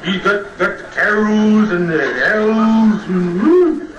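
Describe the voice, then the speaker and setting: Jaded old kids' TV storyteller, slurred, muddled, dragging pace, distant mic, very noisy tape. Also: male voice, high-pitched, animated, roomy sound, highs cut off. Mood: boozy, indifferent. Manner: halting, muddled, trailing off. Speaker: children's TV storyteller. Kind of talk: rambling sketch-comedy storytelling. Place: TV studio living-room set.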